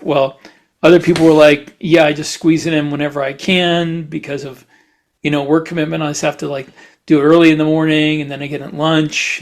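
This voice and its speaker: A man co-hosting a podcast in casual chat, talking in a halting, rambling way. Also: a little high. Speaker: podcast host; writer.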